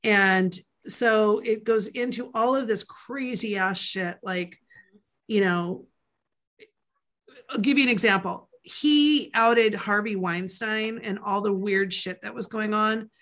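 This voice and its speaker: A woman's voice: mid-range voice, slow pace, a bit bright. A woman author chatting casually in a podcast interview, rambling with fillers and asides. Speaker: author guest.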